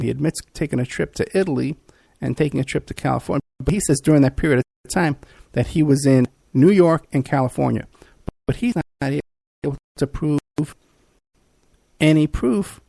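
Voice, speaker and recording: A man hosting a podcast, ranting in an animated, repetitive talk-radio monologue, hoarse and losing his voice. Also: a little high, no echo. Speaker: podcast host.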